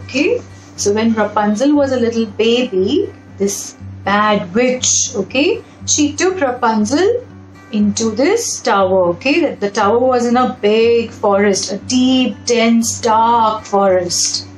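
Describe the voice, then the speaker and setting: A woman with a high voice narrates a children's picture book at a slow pace. She pauses for simple, repetitive explanations and puts questions to her young listeners. The sound is roomy and echoing, with music in the background. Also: expressive. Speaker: storybook reader and narrator for children. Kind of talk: read-aloud children's story with explanatory asides.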